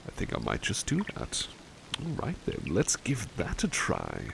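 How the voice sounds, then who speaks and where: A man's voice: deep voice, huge pitch swings, measured pace, full character voice. A man playing a devoted, mild-mannered butler in an ASMR roleplay, speaking politely and formally, with hesitant, musing phrasing. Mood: musing, gentle. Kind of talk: ASMR roleplay, personal attention. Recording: clearly noisy ambience behind voice.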